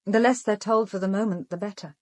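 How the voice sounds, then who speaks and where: A woman's voice: mid-range voice, steady tone, fast, a little mellow, dry recording, close to an everyday voice. Composed English woman detective, close dry sound actress. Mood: cool, frank. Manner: composed, matter-of-fact. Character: cool, observant young woman private detective. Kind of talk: British radio drama acting.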